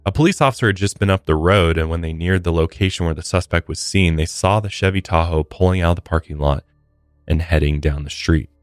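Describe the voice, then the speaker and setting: Very deep-voiced American man narrating true crime. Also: a little fast, dry recording, clean studio sound. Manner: blunt, profane commentary. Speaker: true-crime podcast host. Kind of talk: scripted true-crime narration with casual asides. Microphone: close studio podcast microphone. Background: faint music.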